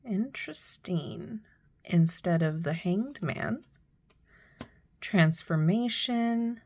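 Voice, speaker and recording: Woman going thru a tarot deck, slow soft admiring comments, kinda low voice. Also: animated. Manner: soft, admiring, unhurried remarks. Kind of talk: unboxing commentary.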